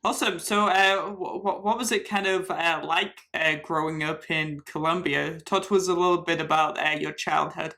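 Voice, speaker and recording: A man's voice: fairly high pitch, fairly even intonation, normal speed, creaky, hardly any pauses, sharp tone. A man hosting a casual tea podcast interview, upbeat and chatty, often stammering and restarting his questions. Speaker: podcast host.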